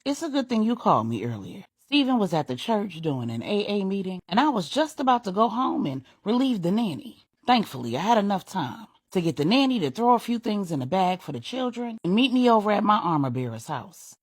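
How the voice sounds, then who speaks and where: A woman's voice: mid-range voice, big pitch swings, fairly quick, few pauses, no room sound, clean studio sound. A woman voicing a devout, self-sacrificing woman pastor in a story, earnest, resolute and colloquial. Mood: relieved, brisk. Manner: colloquial, scripture-quoting, resolute. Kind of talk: audio storytelling dialogue.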